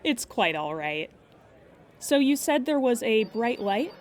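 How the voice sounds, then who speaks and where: Casting: unflappable call-centre claims agent, slightly high pitch, measured, courteous and matter-of-fact, for comic audio fiction. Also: female voice, sing-song. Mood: patient, formal. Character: calm, formal, genderless insurance claims phone agent. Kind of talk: improvised comedic audio drama phone call.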